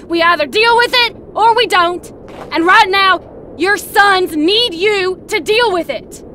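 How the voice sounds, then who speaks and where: A woman acts out a grieving, fierce young woman in a comic dub. Her voice is very high and forceful, with an impassioned, scolding delivery. There is faint noise behind her. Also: slow pace, heavily acted. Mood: angry, desperate. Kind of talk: comic dub drama acting.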